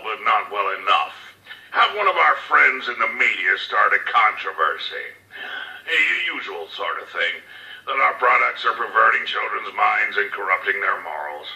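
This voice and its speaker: An actor playing a cold, calculating crime boss tycoon dictating orders in a measured, menacing, condescending way for a video game audio log. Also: male voice, mid-range voice, sharp tone, full character voice. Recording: roomy, slightly distant audio log.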